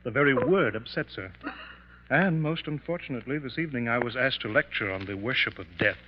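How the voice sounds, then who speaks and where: An actor playing a suave, sinister professor, smooth, condescending and theatrical in the manner of 1950s radio mystery. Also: male voice, mid-range voice, lively intonation, fairly quick, natural voice. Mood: relishing, ominous. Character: suave sinister professor, menacing husband of a rich wife. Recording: muffled, noisy 1950s radio recording.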